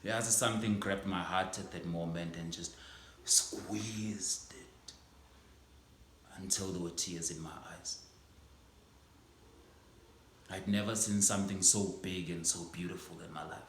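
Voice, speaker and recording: Male actor as an old schoolteacher, somber theatrical monologue; deep voice, faint hiss, echoey room. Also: steady tone, unhurried. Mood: wistful. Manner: ranging from hushed reflection to impassioned outbursts. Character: aging male schoolteacher, proud and grieving. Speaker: stage actor performing a monologue.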